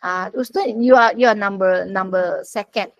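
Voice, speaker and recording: A woman with a Malaysian accent moderating an online session in informal talk, polite and halting, over dull lo-fi call audio. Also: medium pitch, no echo. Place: online video meeting.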